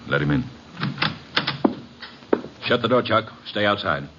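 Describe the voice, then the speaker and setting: An actor playing a courteous but coldly menacing crime boss in an old radio detective drama, speaking formally and with measured threat. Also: male voice, fairly low pitch, expressive. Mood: commanding. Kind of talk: 1940s-50s radio detective acting. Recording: muffled, noisy old radio recording.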